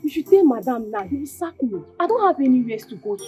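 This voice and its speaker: Woman as a scared nigerian maid, begs nonstop, bit high pitched, music playing under it. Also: very animated, fast, mellow. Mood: pleading. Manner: pleading, begging. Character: frightened young housemaid, pleading. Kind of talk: comedy skit acting.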